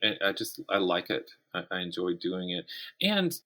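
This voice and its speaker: A man speaking as a writer guest in a conversational interview, telling things in an animated way and voicing imagined quotes. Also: low voice, normal speed.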